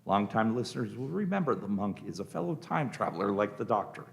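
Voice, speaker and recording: Creaky, animated male narrator. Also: lowish voice, a little fast. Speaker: narrator of a radio play. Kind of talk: scripted narration.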